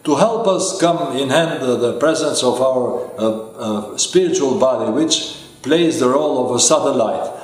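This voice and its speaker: Man coaching in a very echoey room. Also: mid-range voice. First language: not English. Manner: slow and deliberate with frequent hesitations and fillers. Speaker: life coach. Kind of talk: motivational lecture.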